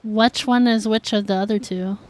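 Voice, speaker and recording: A woman's voice: mid-range voice, steady tone, moderate pace. A woman streaming a game, chatting casually with her viewers in a filler-heavy way. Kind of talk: casual livestream chat while gaming.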